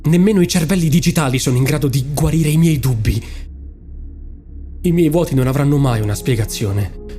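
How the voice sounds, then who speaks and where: Guy doing a tormented amnesiac pony in space, bit high voiced, dramatic sighing audio drama stuff over music. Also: mellow, close to an everyday voice. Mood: despairing. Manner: theatrical, brooding, sighing. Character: anguished amnesiac male space pony, brooding and exasperated.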